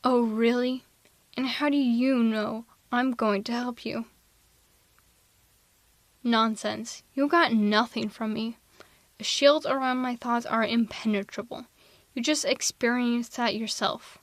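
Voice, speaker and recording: A woman playing a haughty, sardonic telepathic villain in an ASMR roleplay audio drama, theatrical and quick to justify herself. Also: on the high side, dry recording, natural voice. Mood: defensive, dismissive. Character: female telepathic villain, haughty, misanthropic, secretly soft-hearted. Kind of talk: ASMR roleplay audio drama, close one-sided dialogue.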